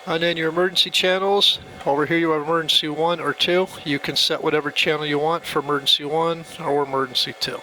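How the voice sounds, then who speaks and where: A man with a bright, forceful voice pitched a little high walks through a demonstration. He explains things step by step in a casual, plainspoken way, with faint noise behind him. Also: fairly even intonation, fast talker, hardly any pauses, poor audio. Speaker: product demonstrator. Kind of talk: casual hands-on tutorial.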